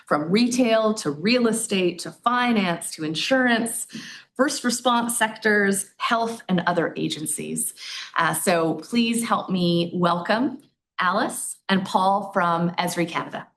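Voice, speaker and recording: A woman's voice: medium pitch, fairly bright tone, a little hiss or hum. A woman hosting a live campus event, introducing speakers from a podium with the occasional filler and self-correction. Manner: reads bios, with filler ums and small self-corrections. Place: lecture hall at an in-person event.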